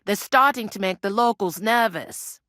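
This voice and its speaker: An actress playing a brusque, gossipy, world-weary medieval village innkeeper in a video game, talking in blunt, earthy, scolding phrases. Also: female voice, mid-range voice, normal speed, pushed and bright, no room sound, clean studio sound, close to an everyday voice. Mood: wary. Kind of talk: video game character dialogue.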